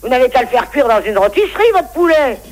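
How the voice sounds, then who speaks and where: Exasperated woman with a very high voice. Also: very animated, fast. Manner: exasperated, scolding. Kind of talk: complaining in a phone conversation.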